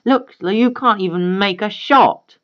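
A storyteller voicing a bratty teenage boy who jeers loudly at a young girl, on a slightly dull recording. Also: mid-range voice, slow, pitched above the performer's own voice, natural voice. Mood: jeering.

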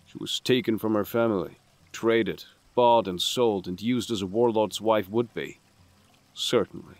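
A man playing a weary, conscientious assassin in an audio drama, speaking gravely and tersely. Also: lowish voice, a little slow, darkish tone, no echo, lightly acted. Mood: earnest. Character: brooding, conscientious male assassin, weary and sober.

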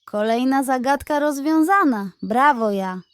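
A woman voicing a wise, reassuring male pig detective in a children's tale, measured and persuasive. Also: sits high, animated, clean tone, dry recording, pitched above the performer's own voice. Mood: self-satisfied.

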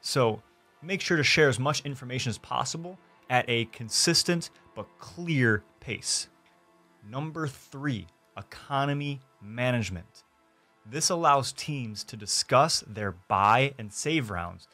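A young man, a gaming YouTuber, delivering a scripted how-to guide in a deliberate, emphatic way, listing his points into a close studio mic. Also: medium pitch, animated, bright, forceful tone. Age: young adult. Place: small home streaming room, indoors. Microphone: close studio mic on desk. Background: background music.

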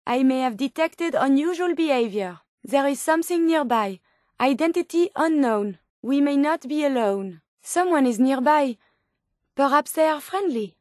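A woman's voice: sits high, lively intonation, moderate pace, no echo, clean studio sound. A woman voicing a polite, curious female robot scientist in a video game, with a French accent and formal, precise, clinical phrasing. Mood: alert, clinical. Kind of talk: video game companion dialogue lines.

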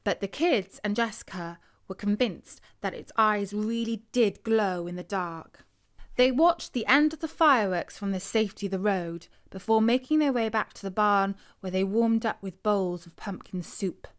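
A woman's voice: mid-range voice, normal speed, clear, resonant tone. A woman with a British accent narrating a scripted bedtime story for children.

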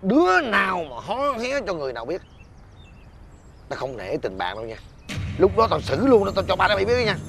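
A man's voice: high voice, huge pitch swings, a little fast, pauses often, exaggerated voice. An actor with a Southern Vietnamese accent playing an agitated husband and gang boss in a folk-tale comedy drama, stammering and repeating himself, bitter at his friends. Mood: threatening. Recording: music behind the dialogue.

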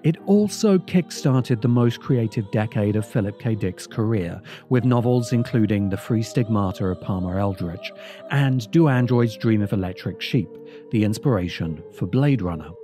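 Mellow male essay narrator over music. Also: on the low side, animated, normal speed. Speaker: host and narrator. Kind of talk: scripted video essay narration.

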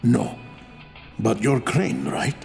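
A man's voice: medium pitch, very animated, slow, creaky, darkish tone, faint music behind, heavily acted. An actor playing a guarded young male survivor in a video game, talking in a dry, matter-of-fact manner.

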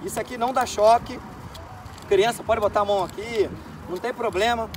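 A man casually demonstrating electrical connectors at an outdoor event, pitching their safety and quality like a salesman. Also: fairly even intonation, brisk, far from the mic, a little hiss or hum.

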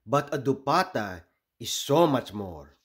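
A man from the Philippines reading a children's picture book aloud expressively, pausing deliberately between short phrases. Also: mid-range voice, sing-song. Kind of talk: expressive storybook read-aloud.

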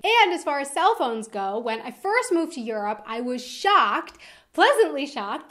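Animated high-pitched young American woman. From United States. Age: young adult. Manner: animated, expressive, emphatic. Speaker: video host. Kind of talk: scripted vlog presentation to camera. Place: indoor room with decorated wall backdrop.

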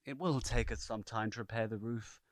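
A man playing a courteous half-elf adventurer with formal, measured wording in a fantasy audio drama. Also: lowish voice, steady tone, no echo, natural voice. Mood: resigned.

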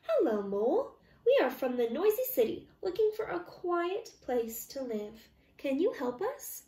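Eager storybook fox, played by a woman, animated, emphatic, exclaiming, roomy distant recording. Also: high voice, unhurried. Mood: polite, asking. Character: eager, fussy noisy city fox. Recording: roomy, echoey, distant microphone.

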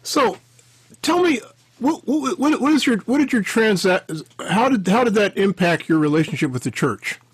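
A man's voice: high-pitched, expressive, normal speed, breathy edge, no room sound. An older man hosting a podcast interview over a video call on a headset. He rambles and often restarts his sentences. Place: home office with bookshelves.

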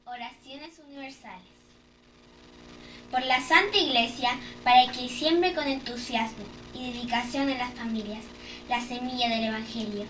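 A young woman with a fairly high voice recites prayer intentions formally. She sounds slightly away from the microphone in an echoey room, with faint noise behind her. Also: fairly even intonation, normal speed, a bit bright, distant mic. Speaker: lay reader. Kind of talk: reading prayer intentions aloud. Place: indoor room, seated at a table. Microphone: camera a short distance away.

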